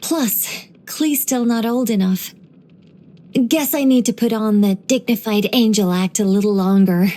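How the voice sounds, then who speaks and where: A woman voicing an ancient angel in a video game, dignified yet whimsical and chatty, with a measured, gently playful delivery. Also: mid-range voice, lightly acted. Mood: playfully fretting. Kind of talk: video game character voice lines.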